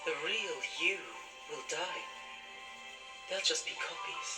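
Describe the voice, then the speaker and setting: An actress playing a commanding, wry and heartfelt ghostly lover in a TV drama, imploring and knowing. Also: female voice, lowish voice, slow, over loud music. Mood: stern. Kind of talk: TV drama acting. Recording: TV playback under loud score.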